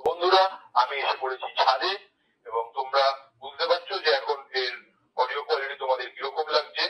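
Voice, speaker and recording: A man's voice: medium pitch, a little fast, bright, forceful tone, recorded from a distance. An Indian man with a Bengali accent giving a casual demonstration, heard through a walkie-talkie radio. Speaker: tech reviewer, vlogger. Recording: tinny walkie-talkie speaker, echoey, off mic.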